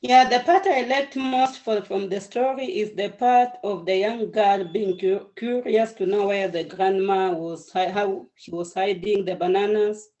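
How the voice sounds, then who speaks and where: A woman's voice: mid-range voice, fairly even intonation, measured pace, few pauses, dark tone, highs cut off. A woman informally sharing her thoughts with a group as a participant on a video call. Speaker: training participant.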